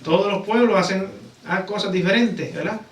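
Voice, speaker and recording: Male preacher, Puerto Rican accent, high voice, conversational sermon, rhetorical tag questions, distant mic. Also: a little fast. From Puerto Rico. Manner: repeatedly seeks agreement with rhetorical tag questions. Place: church sanctuary. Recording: roomy, distant mic, background noise.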